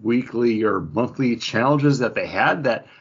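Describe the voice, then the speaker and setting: A man co-hosting a podcast in casual chat, rambling and animated, acting out tapping through game menus. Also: mid-range voice, unhurried, slightly rough, highs cut off. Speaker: podcast co-host.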